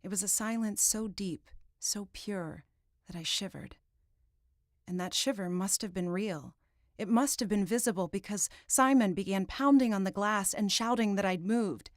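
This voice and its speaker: A woman reading first-person fiction as an audiobook narrator, in a dramatic, deliberate literary style. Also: mid-range voice, dry recording, studio quality.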